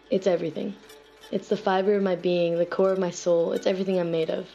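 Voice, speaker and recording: A young woman with an American accent reading a scripted, reflective personal narrative in an earnest, measured way. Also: fairly low pitch, vocal fry, mellow. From United States. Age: young adult. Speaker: student narrator of a personal essay. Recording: dull lo-fi voice-over recording. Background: music playing faintly behind the voice.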